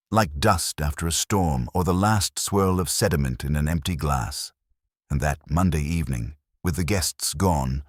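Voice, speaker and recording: Male narrator, scripted mystery story, very deep, husky, dry close sound. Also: no echo. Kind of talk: scripted first-person detective story narration.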